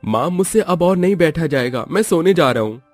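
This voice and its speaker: A man playing a romantic, playful young newlywed husband in an animated family story, sweet-talking in a drawn-out way. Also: a little high, soft background music, natural voice. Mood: weary.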